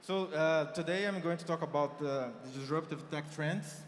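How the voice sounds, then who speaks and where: A man with a Brazilian accent delivers a conference keynote, his slightly raised voice ringing through a large, reverberant hall. He explains figures patiently, pausing on fillers as he goes. A faint murmur of the venue sits under him. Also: a little high, slight background noise. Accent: Brazilian Portuguese. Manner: explanatory, walks through numbers with frequent fillers. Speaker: keynote speaker.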